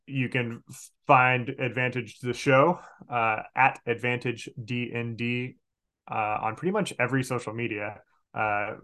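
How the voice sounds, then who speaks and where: A man's voice: mid-range voice, fairly bright tone. A man speaking as a podcast guest and game master, casual and emphatic. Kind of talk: casual interview talk.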